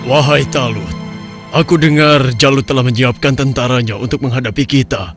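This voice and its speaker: A man as a medium-pitched foot soldier. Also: moderate pace, music in the background, close to an everyday voice. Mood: doubtful. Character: plain-spoken, thirsty foot soldier. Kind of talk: Indonesian cartoon voice acting.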